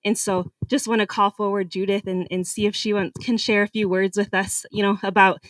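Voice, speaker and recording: Woman organizer introducing the next speaker, halting and filler-heavy, heard from far off. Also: mid-range voice, level tone, no room sound. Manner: hesitant, lots of fillers. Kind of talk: informal introduction. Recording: distant dry pickup.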